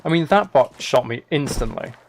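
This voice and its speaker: A man with a mid-pitched voice chats casually through a live gaming stream, often putting himself down as he comments on play. Gunfire and clicks from the game are clearly audible behind his voice. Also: animated, moderate pace, noisy background. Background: video game gunfire, clicking.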